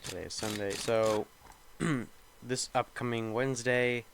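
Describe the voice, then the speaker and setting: A man's voice: mid-range voice, fairly even intonation, measured pace, background noise. A young man with a slightly nasal voice, rambling casually and thinking aloud as he talks over gameplay. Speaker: gaming video creator.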